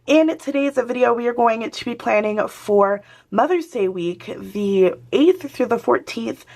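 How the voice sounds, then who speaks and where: Hoarse-voiced woman host, chatty and easygoing, talking viewers through a planner spread. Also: fairly high pitch, lively intonation, a little slow, a little mellow. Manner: chatty, talks to viewers while working. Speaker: planner vlogger. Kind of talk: casual crafting chat.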